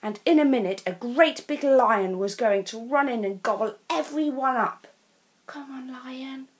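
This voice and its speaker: A woman from England with an English accent reading a children's picture book aloud as a storyteller. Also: on the high side. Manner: slow, expressive read-aloud.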